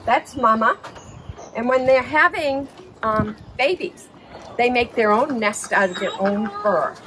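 A middle-aged woman hosts a children's show-and-tell in a high voice. She takes her time, explaining simply and haltingly. It is recorded outdoors, with clear background noise. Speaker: children's farm storytime host. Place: outdoors in a backyard farm pen. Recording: noisy outdoor recording with clicks.

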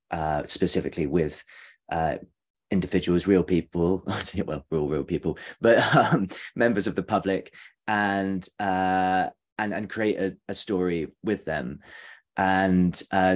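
Man, middle-aged, deep voice. Informal panel speaker, explanatory, hesitant stop-start delivery. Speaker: theatre maker, panellist. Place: home kitchen, indoors.

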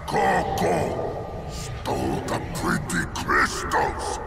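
A man voicing a dim-witted, hulking tiger henchman in a cartoonish game, growling and shouting in broken English. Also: on the high side, wildly swooping pitch, very slow, loud music behind the voice, full character voice. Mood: brutish threats. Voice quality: gruff, growling. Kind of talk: cartoonish video game acting.

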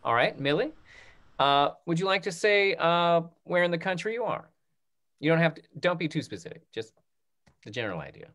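A man around his thirties moderating a casual question-and-answer session on a video call, restarting phrases in a halting way. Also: on the high side, very animated, a bit bright. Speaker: moderator of a panel discussion. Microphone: video call computer microphone.

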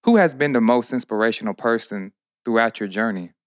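Host in his thirties, mid pitch, laid-back questioner, dry close mic. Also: a little fast, dry recording. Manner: relaxed, asks questions, short reactions. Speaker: podcast host. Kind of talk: casual interview chat. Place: podcast studio. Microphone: studio mic, headphones.

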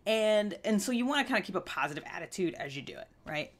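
A woman's voice: medium pitch, lively intonation, fast. A woman in her thirties giving a candid self-help talk to camera, earnest and emphatic, often checking in with the listener. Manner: earnest, conversational, emphatic with frequent check-in questions. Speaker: vlog host. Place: small indoor room with fabric backdrop.

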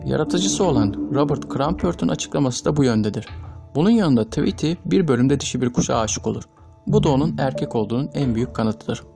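A man narrates from a script. His delivery is formal and measured. Music plays clearly underneath. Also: medium pitch, lively intonation, warm tone. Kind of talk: scripted narration of trivia video. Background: background music.

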